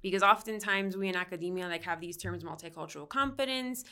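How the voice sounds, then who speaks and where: Bright, smooth-voiced woman counselor. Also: on the low side, fast. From Miami, United States. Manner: explanatory, with frequent "like" and "um" fillers. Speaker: counseling professor and licensed counselor. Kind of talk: conversational podcast interview.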